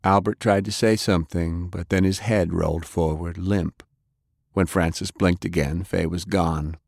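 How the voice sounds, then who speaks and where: A man's voice: deep voice, steady tone, unhurried, vocal fry, darkish tone, no echo, clean studio sound. A man narrating fiction as an audiobook reader, telling a scripted story in prose.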